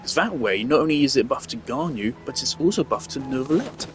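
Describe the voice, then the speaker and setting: A man's voice: medium pitch, animated, a little fast, fairly bright tone, faint music behind. A man giving casual gameplay commentary, thinking aloud and rambling with restarts. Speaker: gameplay streamer.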